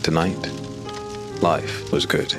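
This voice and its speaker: Extremely deep-voiced male narrator. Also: fairly even intonation, over music. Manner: measured, ominous storyteller. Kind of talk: scripted audiobook narration. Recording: clear background hiss.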